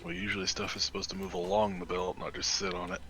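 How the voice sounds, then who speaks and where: A man's voice: sits low, little pitch movement, fast talker, bright, forceful tone, slight background noise. A man chatting casually as a co-player during a video game. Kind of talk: casual gameplay chat.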